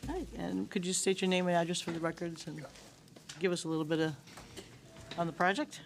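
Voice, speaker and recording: A woman chairing a town board meeting, moving items along briskly and calling votes. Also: fairly low pitch, fairly even intonation, vocal fry, noisy background. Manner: brisk and procedural. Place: town hall meeting room.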